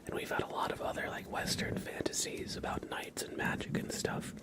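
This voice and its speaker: A man hosting an ASMR livestream, chatting softly and mostly in a breathy whisper. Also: a little fast. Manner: soft-spoken, mostly whispering. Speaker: livestream host.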